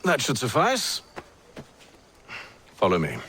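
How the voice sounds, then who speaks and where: A cool, sardonic Templar leader in a video game. His mid-pitched voice moves animatedly while staying composed and dry. A faint hum of ambience sits behind him. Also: huge pitch swings, slow pace, sharp tone, full character voice. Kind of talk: video game cutscene acting.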